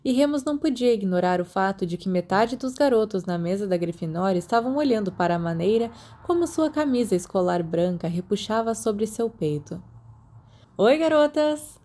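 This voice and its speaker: A Brazilian woman narrates fiction from a script in the manner of an audiobook. Her voice sits at a middle pitch with a mellow, relaxed tone. She reads as a storyteller, with a Brazilian Portuguese accent. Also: brisk, hardly any pauses. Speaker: podfic narrator.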